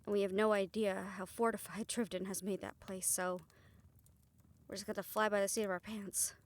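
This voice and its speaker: A woman's voice: mid-range voice, little pitch movement, moderate pace, natural voice. A woman playing a defiant, determined young woman in a fantasy audio roleplay, earnest and sardonic when challenged. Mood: tense, resolute.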